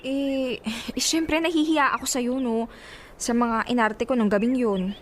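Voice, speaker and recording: A woman's voice: a little high, fairly even intonation, a little slow, slight background noise, natural voice. An actress playing a friendly, insistent, playful young woman in a radio drama, breezy and casual, with a Filipino accent. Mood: sheepish.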